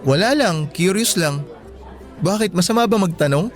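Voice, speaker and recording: A man's voice: high voice, expressive, normal speed, faint music behind. A man playing a friendly, curious, well-off teenage schoolboy in a radio drama, speaking in an easygoing, casual way.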